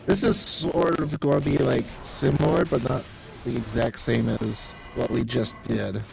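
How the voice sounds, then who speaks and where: A man's voice: medium pitch, fairly even intonation, a little slow, vocal fry, a little mellow. A man live-streaming a game with casual commentary, musing aloud as he thinks through his tactics. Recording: music behind the voice. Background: music.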